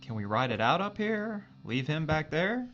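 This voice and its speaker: A man's voice: fairly high pitch, big pitch swings, normal speed, fairly bright tone, lo-fi sound, a little hiss or hum. A man playing a loyal, wry spaceship crewman and tinkerer in an audio drama, talking casually. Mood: concerned, curious.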